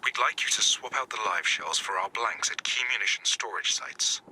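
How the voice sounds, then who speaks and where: A man voicing a composed resistance commander in a video game, giving dry, measured briefings. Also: on the low side, expressive, bright, forceful tone, slight background noise, poor audio. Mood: dry, businesslike. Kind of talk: scripted game mission briefing.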